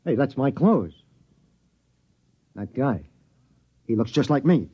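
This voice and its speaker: An indignant, flustered spaceman in old radio drama style. His voice is husky and lively in pitch, on a dull 1950s recording. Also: slow, exaggerated voice.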